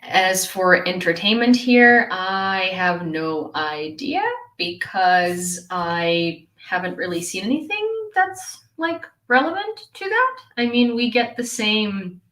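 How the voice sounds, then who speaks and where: A young woman from Ukraine chats on a live stream at an unhurried pace, giggling and pausing on "um" as she answers viewers. Her voice sits at a middle pitch and rings a little in a roomy, echoey space. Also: very animated, a bit bright. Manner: chatty, giggly, lots of fillers and restarts. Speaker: streamer reading live chat. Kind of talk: casual live chat.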